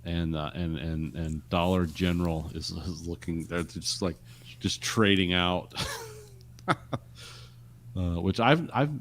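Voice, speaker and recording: A man's voice: sits low, takes it slowly, pauses often. A man in casual podcast chat, laid-back and brief.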